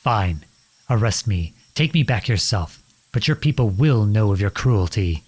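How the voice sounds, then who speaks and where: Man acting as a husky, slow-speaking defiant young prince. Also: on the low side, animated. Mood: bitter, defiant. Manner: earnest, deliberate, pleading and accusing. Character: defiant outlawed young prince confronting his cruel king father. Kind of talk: dramatic audio roleplay acting.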